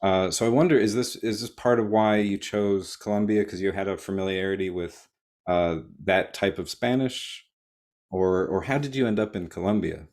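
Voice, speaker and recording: A man with a very deep voice hosts an interview in an easygoing way. He keeps his guest going with short nods of agreement and loose, thinking-aloud questions. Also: normal speed. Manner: relaxed, encouraging, frequent short acknowledgements. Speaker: podcast host interviewer. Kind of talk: casual interview talk.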